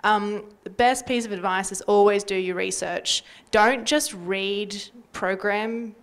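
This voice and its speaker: A woman's voice: mid-range voice, fairly even intonation, takes it slowly. A young adult woman with an Australian accent giving practical advice in panel talk on a handheld microphone. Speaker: community radio panelist. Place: indoor panel event.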